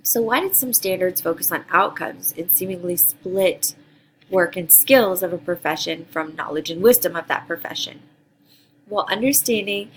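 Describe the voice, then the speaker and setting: A woman's voice: on the low side, slight background noise, rough recording. A woman from Costa Rica, a teacher in her thirties, giving an earnest, partly read introduction for a graduate course. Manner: earnest, reads prepared answers with some ums. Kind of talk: reading a course introduction video.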